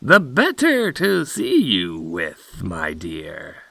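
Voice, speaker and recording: A man voicing a hungry wolf posing as a doting old grandmother in a storybook, drawn-out, cloying and menacing. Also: low-pitched, wildly swooping pitch, vocal fry, sharp tone, dry recording, heavily acted. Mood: menacingly sweet. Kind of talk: storybook character voice.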